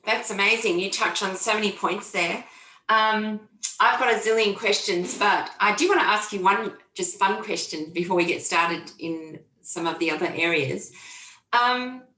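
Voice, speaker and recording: A woman moderating an online panel, her voice bright and forceful. She is chatty and enthusiastic as she puts questions to the speakers. Her call audio has a noticeable roomy echo. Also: medium pitch. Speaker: seminar moderator. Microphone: video call. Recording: echoey room on a call.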